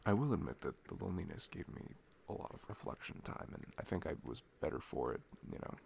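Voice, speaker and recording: Casting: man with a low, gravelly voice as a tender, sincere boyfriend in intimate ASMR, soft and hesitant, faint noise behind. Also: sing-song. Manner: soft, hesitant, tender, pausing between phrases. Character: affectionate, sincere, reflective boyfriend. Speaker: audio roleplay performer playing a boyfriend.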